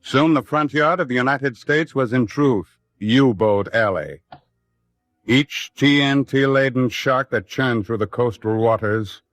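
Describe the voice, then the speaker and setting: A man's voice: mid-range voice, unhurried, a bit bright, no echo. A man delivering solemn, rhetorical narration in the dramatic style of an old-time radio documentary drama.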